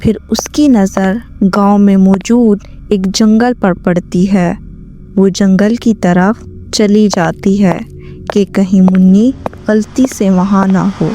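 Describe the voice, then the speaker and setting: A woman's voice: mid-range voice, fairly even intonation, takes it slowly, mellow, a little hiss or hum. A woman narrating a scripted story as the storyteller.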